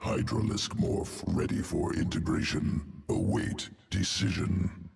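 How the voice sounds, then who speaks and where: A man's voice: deep voice, slow, a little mellow, exaggerated voice. A man voicing a cold, clinical alien creature that engineers genes in a video game, guttural, speaking in clipped telegraphic phrases. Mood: detached, clinical. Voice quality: guttural, gravelly. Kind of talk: video game character dialogue.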